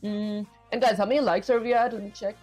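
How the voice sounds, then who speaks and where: A woman streaming herself programming, chatting casually and thinking out loud with lots of "like" fillers. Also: medium pitch, fairly even intonation, quick pace, soft background music. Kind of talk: casual live coding stream.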